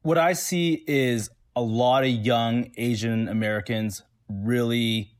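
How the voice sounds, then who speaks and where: A man from Toronto answering interview questions in a relaxed way, with many fillers and restarts. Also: medium pitch, slow pace. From Toronto, Canada. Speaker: interview guest.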